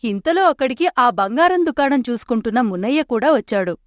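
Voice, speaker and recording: A woman reads a children's moral story as narrator, keeping her delivery steady and clear. Her voice sits somewhat high and sounds close and dry, with no room echo. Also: a little fast. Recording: dry close studio mic.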